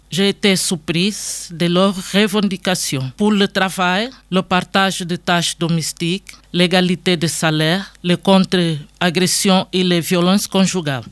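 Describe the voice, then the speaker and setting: Woman from Angola, earnest steady reader, dry studio-close sound. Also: on the low side, dry recording. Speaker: testimony speaker. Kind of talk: reading a prepared testimony.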